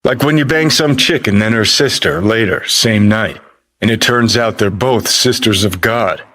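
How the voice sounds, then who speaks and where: An American man playing a cynical, foul-mouthed, world-weary rebel rock star in a video game, with a gravelly, husky voice and a clipped, sardonic, swaggering drawl. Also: fairly low pitch, normal speed, bright, forceful tone. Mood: jaded, musing. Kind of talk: video game character dialogue.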